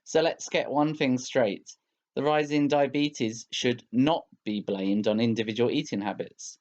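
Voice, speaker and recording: A man's voice: mid-range voice, a little slow, darkish tone. A man reading a scripted political commentary in a formal, polemical manner on a slightly dull recording.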